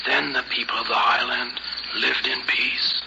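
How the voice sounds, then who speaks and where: An actor playing a sorrowful, proud Martian native with gravelly, solemn and formal speech in an old-time radio drama. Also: male voice, mid-range voice, little pitch movement. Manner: solemn, formal, measured speech in a foreign tongue. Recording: muffled, very noisy old radio recording.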